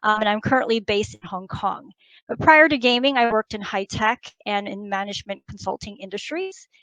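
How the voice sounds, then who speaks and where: A woman's voice: medium pitch, moderate pace, a bit bright, no echo, low-quality recording. A woman in people and operations giving an upbeat, prepared keynote for a virtual conference. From United States and Taiwan. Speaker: company HR executive presenting a keynote.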